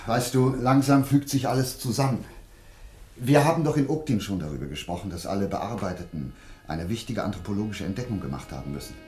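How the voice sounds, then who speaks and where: An actor playing an earnest, driven scientific investigator in a German radio play, with an intense and deliberate dramatic delivery. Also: male voice, lowish voice, animated, a little mellow, faint music behind, natural voice. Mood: urgent. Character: earnest, driven male scientific investigator uncovering a dark secret.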